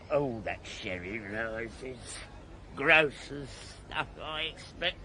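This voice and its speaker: Cantankerous old country uncle, wildly swooping. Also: male voice, medium pitch, lively intonation, drawn-out words, sharp tone, slight background noise, exaggerated voice. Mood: grumbling, confiding. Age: older. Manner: grumbling, mutters and exclaims, wildly swooping. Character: cantankerous, blunt old uncle, fussy and miserly. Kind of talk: British radio drama acting.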